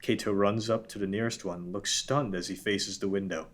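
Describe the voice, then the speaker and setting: A man's voice: on the low side, fairly even intonation, fairly quick. A man narrating a script, reading out scene headings and stage directions. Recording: background room noise.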